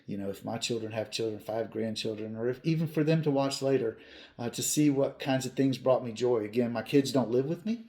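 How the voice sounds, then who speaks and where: A man's voice: medium pitch, a little fast, dark tone. A man in his fifties hosting a hobby channel, talking casually to viewers in an earnest, plain-spoken way with emphatic repetition. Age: 50s.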